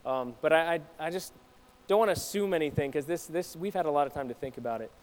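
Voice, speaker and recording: A man's voice: on the high side, fast, darkish tone. A man preaching to his congregation in a conversational way, putting questions to the listeners. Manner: conversational, asks the audience questions. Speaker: pastor. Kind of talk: sermon to a congregation. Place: church.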